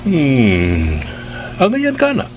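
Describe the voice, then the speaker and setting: Gentleman of the house: mid pitch, very varied intonation, formal, Filipino accent, faint noise. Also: huge pitch swings, drawn-out words, full character voice. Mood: genial. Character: courteous, self-possessed gentleman head of household. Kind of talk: Philippine radio drama acting.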